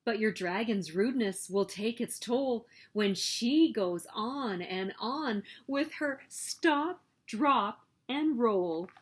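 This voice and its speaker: A woman reading a children's picture book aloud as story host, with expressive, emphatic delivery. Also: fairly high pitch, takes it slowly, hardly any pauses, slightly rough. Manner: expressive, emphatic storytelling with sing-song refrains.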